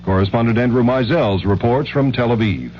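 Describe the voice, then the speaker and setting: A man's voice: mid-range voice, fairly quick. A man anchoring a scripted national radio news bulletin. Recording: dull old radio broadcast, clear noise.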